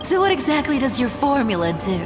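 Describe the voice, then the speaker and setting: An actress playing a sly, teasing young trickster demigod in a video game, with a playful manner. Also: female voice, high-pitched, sing-song, a little fast, long reverb tail. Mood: teasing, curious. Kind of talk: dramatic game voice acting. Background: loud music.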